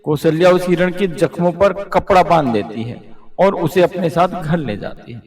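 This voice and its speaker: A man narrates a children's fairy tale from a script. His voice sits a little high for a man, and it carries a clear roomy echo. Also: normal speed, a little mellow.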